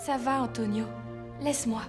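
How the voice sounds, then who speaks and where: Woman acting an earnest teenage girl, high voice. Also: fairly even intonation, moderate pace, over loud music, close to an everyday voice. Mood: wistful. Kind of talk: French animated drama dub.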